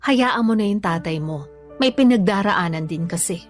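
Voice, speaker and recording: Woman acting worried filipino mom in a radio drama, medium pitch, music behind. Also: animated, moderate pace, lightly acted. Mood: troubled. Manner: pleading, concerned. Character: worried, patient, long-suffering mother and wife.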